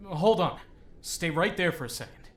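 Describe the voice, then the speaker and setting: A man playing an eager, kindly adventurer in an audiobook fantasy story, animated and exclaiming. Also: fairly high pitch, normal speed. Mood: concerned.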